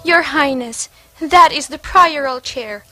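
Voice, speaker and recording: A woman's voice: high voice, takes it slowly, bright, forceful tone, faint music behind, full character voice, rough recording. A woman playing an anxious, deferential convent nun in a historical stage play.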